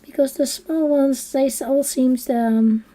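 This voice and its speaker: Slow, mellow Dutch-accented woman. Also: sits high, steady tone. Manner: soft-spoken and unhurried, drifting into quiet half-whispers. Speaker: coloring channel host. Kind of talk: casual chat while coloring on a live stream.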